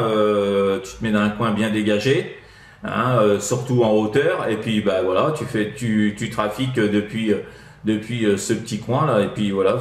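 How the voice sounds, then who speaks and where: A man with a French accent from France, chatting casually as a CB radio operator giving advice, in a hesitant, rambling way full of tag words. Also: lowish voice. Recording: roomy echo.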